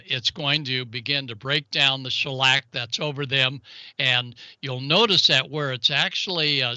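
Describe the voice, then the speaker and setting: A man's voice: a little high, bright, forceful tone, dry recording, muffled recording. A man presenting an informal technical explainer in a folksy way, with playful asides and exclamations. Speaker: amateur radio show segment presenter.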